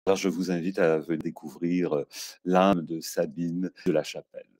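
A man's voice: low-pitched, steady tone, moderate pace. An older man hosting an interview over a video call, effusive and full of hesitations. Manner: warm, effusive, frequent hesitations. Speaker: host. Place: stone-walled room indoors.

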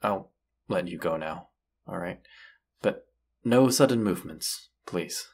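A man playing a gentle, forgiving vampire hunter and lover in an intimate audio roleplay, speaking tenderly with hesitant pauses. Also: low-pitched, animated, breathy edge, full character voice. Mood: earnest, reassuring.

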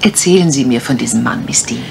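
A woman's voice: very deep voice, expressive, quick pace, creaky, full character voice. An actress in a mystery radio play as a shrewd, nosy, worldly lady assistant, direct and knowing, with dry humour. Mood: wry, curious. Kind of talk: 1980s German mystery radio play acting. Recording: faintly noisy old radio recording.

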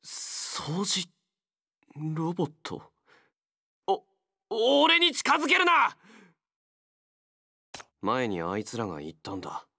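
A feral young male fighter from a game, wary and gruff. He speaks slowly in blunt, halting phrases, with pitch that moves widely from line to line. The sound is dry, with no room around the voice. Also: wildly swooping pitch, sharp tone, no room sound, exaggerated voice. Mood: alarmed, hostile. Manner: blunt, terse, halting speech. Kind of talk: Japanese game character voice acting.

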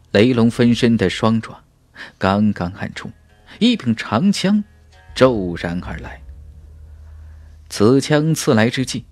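A man narrating a fantasy audiobook in a theatrical, suspenseful manner, with dramatic pauses. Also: on the low side, no echo.

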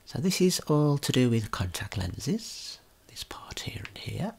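A man narrates a guided tour in ASMR style. His voice is very breathy and whispered, with a creaky edge. He explains gently and takes his time with each phrase. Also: medium pitch, sing-song, a little slow.

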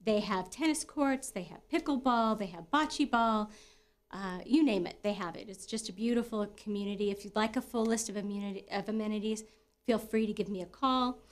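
A woman's voice: medium pitch, normal speed. A middle-aged American woman presenting a property tour as a real estate broker, in conversational, semi-scripted sales talk.

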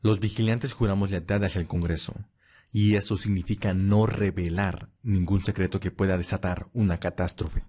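A man's voice: sits low, fairly even intonation, moderate pace, mellow, slightly rough. A man playing a stern, loyal vampire watchman in an audio roleplay, curt and disdainful. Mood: cold, firm. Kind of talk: Spanish-language audio roleplay acting.

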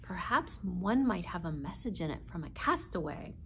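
A woman's voice: fairly low pitch, sing-song, moderate pace, fairly bright tone, slight background noise. A woman in her forties reading a children's story aloud expressively, seated indoors a short distance from the camera.

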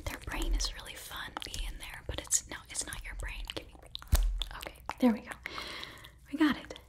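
A woman performing an ASMR roleplay in a soft, gentle whisper, often repeating her words. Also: moderate pace.

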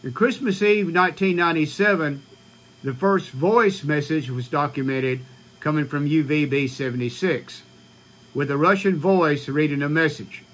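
A man in his sixties with an American accent, explaining informally to camera in a halting, matter-of-fact way. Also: a little high, a bit bright. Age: 60s. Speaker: ham radio vlogger. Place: small home radio room, indoors.

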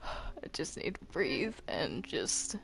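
A woman in improvised in-game roleplay chat, excitable and stammering, often repeating her phrases. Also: low voice, slow, gravelly. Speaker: Minecraft roleplay player.

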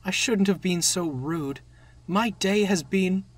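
A man's voice: mid-range voice, huge pitch swings, unhurried, fairly bright tone, slightly rough, exaggerated voice. A man voicing a proud, easily hurt young tank engine in a storybook, with an earnest, emphatic manner. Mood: sorry.

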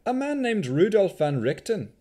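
A jaunty female adventurer from a fantasy tale, acted out by a man. She speaks in a fantasy accent with a lifted, lively pitch. Her manner is breezy, frank and self-assured. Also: normal speed. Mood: unbothered. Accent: Vistani-style fantasy accent.

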